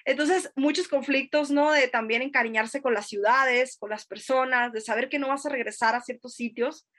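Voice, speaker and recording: A woman's voice: high-pitched, steady tone, normal speed, a bit bright. A woman in her thirties from Sonora with a northern Mexican accent, telling personal stories in a casual podcast chat, hesitant with many fillers and tag questions. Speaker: podcast guest. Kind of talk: casual conversational storytelling.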